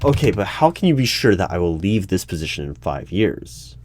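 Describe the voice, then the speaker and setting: A man's voice: low-pitched, big pitch swings, normal speed, darkish tone, a little hiss or hum. A man playing an exasperated, bureaucratic human resources manager in a one-sided interview roleplay, hesitating and stammering when flustered. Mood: wary, puzzled. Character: exasperated, officious human resources hiring manager at secretive agency. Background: computer keyboard typing.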